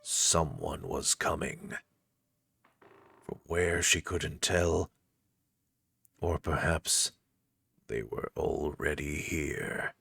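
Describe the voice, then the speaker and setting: Very low-voiced woman narrating a story. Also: very slow, creaky, long pauses, a bit bright, husky, dry recording. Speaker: visual novel narrator.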